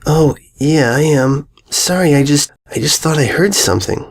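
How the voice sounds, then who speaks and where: A man's voice: fairly high pitch, moderate pace, pitched above the performer's own voice. A man voicing a timid young female pony who speaks in a hesitant, stammering, apologetic way. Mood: sheepish.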